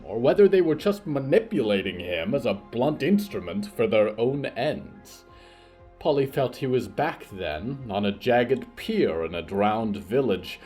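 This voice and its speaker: A man delivering formal, theatrical scripted narration for a horror fiction podcast, in a measured storytelling manner with a husky voice. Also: lowish voice, a little mellow.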